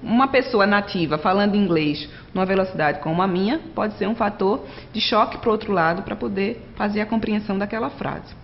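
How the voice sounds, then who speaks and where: A woman's voice: medium pitch, fairly quick, hardly any pauses, a little hiss or hum. Chatty woman lecturing, dull lo-fi sound. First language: Portuguese. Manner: conversational, frequent tag questions, addresses students directly. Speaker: teacher. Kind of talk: recorded distance-learning lecture.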